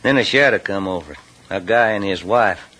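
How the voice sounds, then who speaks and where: A man's voice: lowish voice, brisk, bright, forceful tone. A man playing a sly, controlling crime boss in old-time radio drama style, condescending to his partner, on a vintage recording. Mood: brooding. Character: menacing, greedy crime boss and former boat owner. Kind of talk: 1940s-50s radio drama acting.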